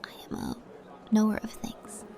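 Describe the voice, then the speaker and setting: A woman playing a sly, composed sorceress in a fantasy audio drama, measured, mysterious and coy. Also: mellow, in a whisper, soft background music, natural voice.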